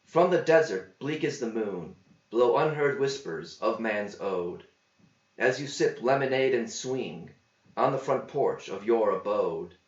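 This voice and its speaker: A man voicing a cheeky young male drifter in a verse story, smooth-talking and declamatory in rhyme. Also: mid-range voice, lively intonation, a little slow, close to an everyday voice. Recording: roomy, slightly dull home recording.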